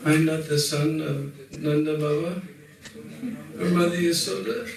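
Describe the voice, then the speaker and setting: A man's voice: fairly high pitch, steady tone, a little slow, creaky. A man voicing the little boy Krishna, coaxing and curious. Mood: sad. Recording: echoey hall, far mic, crowd laughter.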